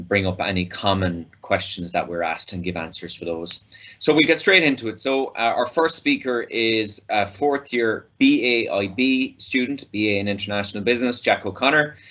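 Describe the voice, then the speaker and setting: A man, a finance lecturer, hosts an online open-day talk in a friendly, organised way, with some filler pauses. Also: lowish voice, a bit bright, lo-fi sound.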